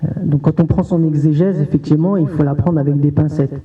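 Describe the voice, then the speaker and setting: A man's voice: fairly high pitch, steady tone, brisk. A man on a conference panel, answering in a hesitant way with many restarts. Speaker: panel speaker. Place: conference hall. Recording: distant roomy hall sound, dull audio.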